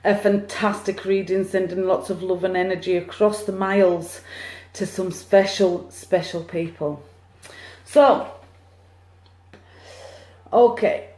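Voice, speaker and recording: A woman's voice: medium pitch, slow, long pauses. Chatty woman medium, phone livestream. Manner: chatty, excitable, cheering on share counts. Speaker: psychic medium. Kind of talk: live-streamed card reading. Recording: echoey room, phone mic.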